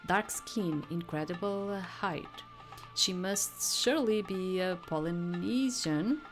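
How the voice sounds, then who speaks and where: Woman reading as slow-talking flirtatious male womanizer. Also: fairly low pitch, soft background music. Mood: admiring. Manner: florid, leering flattery. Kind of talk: visual novel dialogue read aloud.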